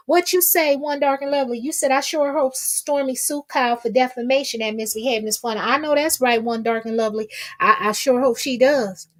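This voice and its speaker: A woman hosting casual gossip commentary, talking to her viewers in a sassy, folksy, emphatic way and repeating phrases for effect. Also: fairly high pitch. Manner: folksy, colloquial, addresses listeners with pet names. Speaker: host of a commentary livestream.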